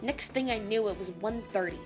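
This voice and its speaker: A woman playing a brash, hot-tempered chef in an audio drama, gruff and sarcastic, cursing mildly in food words. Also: mid-range voice, a little fast, natural voice. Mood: irritated. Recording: music behind the voice.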